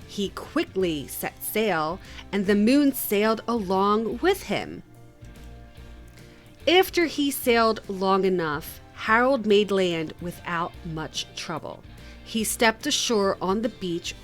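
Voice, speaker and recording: A woman reading a children's picture book aloud in an expressive, measured storytelling manner. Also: mid-range voice, faint music behind. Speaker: read-aloud host.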